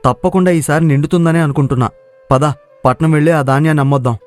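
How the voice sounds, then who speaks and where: A man's voice: on the high side, steady tone, quick pace, soft background music, natural voice. A man voicing an easygoing young villager in a cartoon moral story, questioning and sceptical in manner. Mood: reluctant. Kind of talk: dramatised moral story dialogue.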